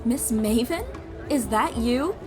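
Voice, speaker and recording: A woman's voice: a little high, expressive, takes it slowly. A woman voicing a hearty, eager female sea captain with an exuberant, projecting delivery in a fantasy audio roleplay. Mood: excited. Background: music.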